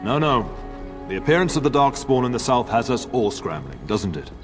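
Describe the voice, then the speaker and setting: A man's voice: medium pitch, sing-song, normal speed, music in the background, natural voice. An actor playing a jovial older noble lord speaking to his son in a game. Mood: hearty. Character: noble older male lord, hearty father.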